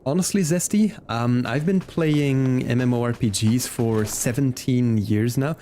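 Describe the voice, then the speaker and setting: A man chatting casually as a game streamer, informal and halting as he thinks aloud. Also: medium pitch, warm tone, a little hiss or hum. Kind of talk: casual livestream chat during gameplay. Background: game music.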